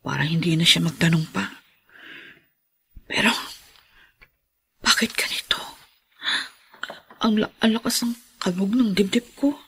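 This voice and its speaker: A woman's voice: mid-range voice, a little slow, creaky, pauses often, fairly bright tone, slightly rough, heavily acted. An actress playing a meek, troubled young woman in a Filipino radio drama, speaking in an earnest, hesitant, pleading way. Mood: nervous.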